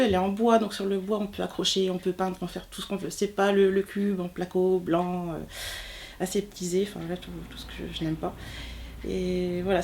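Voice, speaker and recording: A woman's voice: mid-range voice, vocal fry, darkish tone. A woman in her forties from Normandy, France, telling a personal story informally, often searching for words. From southern Manche, Normandy, France. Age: 40s. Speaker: interviewee, textile artist.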